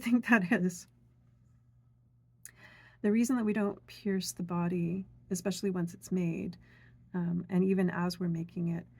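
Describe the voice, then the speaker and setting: Soft-spoken woman teaching a folk craft. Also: fairly low pitch. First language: English. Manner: gentle, unhurried, with frequent fillers and asides. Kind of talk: calm step-by-step craft demonstration.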